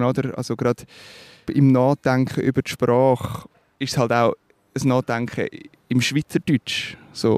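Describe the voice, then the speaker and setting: Swiss German musician guest, mid-pitched man, searching his words, dry close mic. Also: a little mellow, dry recording. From Switzerland. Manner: thoughtful, hesitant, many fillers and restarts. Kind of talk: reflective interview talk.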